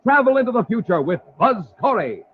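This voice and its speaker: Animated high-voiced American radio announcer. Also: male voice, a little fast. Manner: emphatic, showy delivery. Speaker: announcer and narrator. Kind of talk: 1950s radio announcing, scripted narration. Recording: muffled, faintly hissy old radio.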